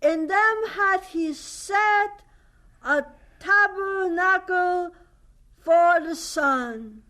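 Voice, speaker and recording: A polite, earnest young boy in a radio drama. The voice is very high and bright, and it moves very slowly, with careful, solemn, halting phrasing. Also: heavily acted. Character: shy, earnest, polite ten-year-old boy.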